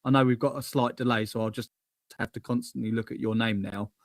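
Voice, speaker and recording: An English man co-hosting a casual live stream, chatty and quick to laugh. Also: medium pitch, fairly even intonation, dry recording, studio quality. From England. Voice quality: laughs often, loose and chatty. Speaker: co-host.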